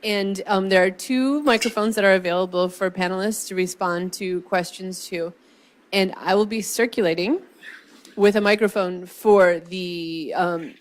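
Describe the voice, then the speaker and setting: Relaxed woman moderating a panel. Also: medium pitch. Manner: relaxed, informal, with fillers and light jokes. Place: symposium hall, indoors.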